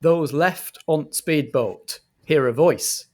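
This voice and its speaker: A man narrating a roleplaying game as game master in a measured, deliberate storytelling manner. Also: a little high, a little mellow.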